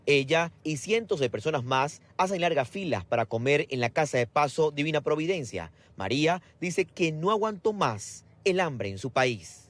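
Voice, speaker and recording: Man, live outdoor news report, clear, higher voice. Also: animated, normal speed, few pauses, a little hiss or hum. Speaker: field reporter. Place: outdoors at a border bridge.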